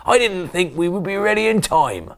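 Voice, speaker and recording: A man's voice: high-pitched, very animated, normal speed, vocal fry, voice pushed up, exaggerated voice. A man playing a tired, kindly farmer in a children's storybook, speaking with a weary sigh. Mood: delighted. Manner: weary, sighing. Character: tired, kindly male farmer, sighing but grateful.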